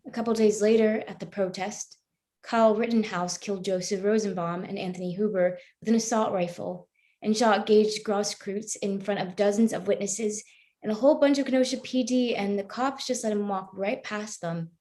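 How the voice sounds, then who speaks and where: A woman acts out a troubled, probing woman in a staged play. Her voice sits at a middle pitch. She questions earnestly and keeps pressing. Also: normal speed, dark tone, lightly acted. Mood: accusing. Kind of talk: live staged play reading.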